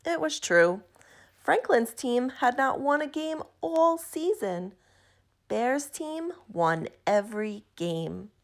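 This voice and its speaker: Slow, animated woman reading a storybook. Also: on the high side. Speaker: storybook reader. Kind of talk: expressive read-aloud of a children's picture book.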